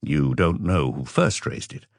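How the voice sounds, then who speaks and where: A man voicing a calm, probing police detective who questions blandly and with measured delivery in an audiobook. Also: rumbling low, gravelly, no room sound, clean studio sound, pitched below the performer's own voice, full character voice.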